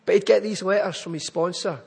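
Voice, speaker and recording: A man's voice: high voice, fairly even intonation, a little fast, gravelly, a little mellow, breathy edge, long reverb tail. A man with a Glaswegian accent giving an earnest, pleading charity appeal in church. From Scotland. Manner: earnest, pleading, building to emphatic lists.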